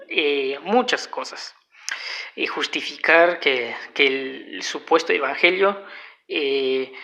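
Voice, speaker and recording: A man, not a native speaker, giving a halting, unscripted talk at home that keeps circling back to restate its points. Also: on the high side, creaky, bright, forceful tone. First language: a language other than Spanish. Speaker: video lecturer. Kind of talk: unscripted explanatory monologue. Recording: dull lo-fi home recording.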